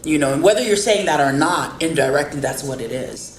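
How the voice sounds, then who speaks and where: A low-pitched woman hosts a faith podcast, chatting earnestly and encouraging her listeners. The recording sounds roomy, with a faint hiss behind her. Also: lively intonation, brisk. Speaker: podcast host. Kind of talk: casual interview chat. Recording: roomy with faint background noise.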